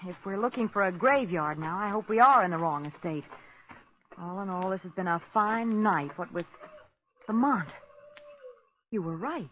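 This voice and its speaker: A woman's voice: mid-range voice, lively intonation, normal speed, pauses often, natural voice. An actress playing a jumpy, dryly sarcastic lady companion to a sleuth in a 1940s radio drama. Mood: wry, nervous. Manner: dry sarcastic quips. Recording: dull, noisy 1940s radio recording.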